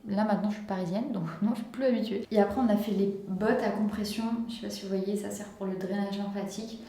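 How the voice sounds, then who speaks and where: A woman's voice: mid-range voice, fairly even intonation, quick pace, mellow. A young woman from France chatting casually and enthusiastically to camera in a lifestyle vlog, full of casual slang. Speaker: lifestyle vlogger. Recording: echoey room.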